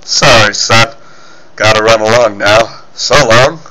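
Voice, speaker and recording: A man voicing a mild, dazed amnesiac ballplayer with a halting, uncertain manner, as in a 1940s radio serial. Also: fairly low pitch, pushed and bright, poor audio. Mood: politely unsure.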